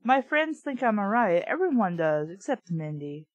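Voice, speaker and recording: A woman's voice: medium pitch, sing-song, moderate pace, dry recording, highs cut off. A woman reading a book aloud casually, with blunt, sweary, opinionated off-the-cuff commentary. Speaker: reader and commentator of a children's book.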